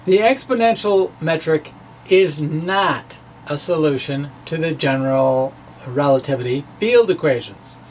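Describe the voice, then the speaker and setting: A man with a fairly high voice presents his science blog informally. He moves haltingly, pausing mid-phrase, with a playful, wry edge. A light layer of background noise sits under the recording. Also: low-quality recording. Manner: wry, playful, chuckles at own asides. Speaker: amateur physicist presenting own science blog. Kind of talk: dramatic reading of a physics blog, informal explaining.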